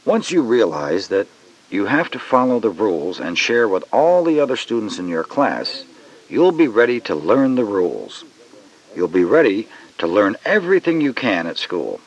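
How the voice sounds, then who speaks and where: A man's voice: fairly low pitch, very animated, normal speed. A man reading scripted educational narration for children, instructive and speaking straight to the listener, on a lo-fi cassette. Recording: dull lo-fi cassette.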